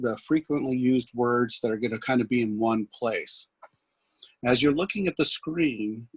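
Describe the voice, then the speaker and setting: A man giving an instructional software demonstration as a trainer, explaining in a halting way. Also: mid-range voice, breathy edge, no echo, rough recording. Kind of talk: instructional screen demonstration, loosely scripted.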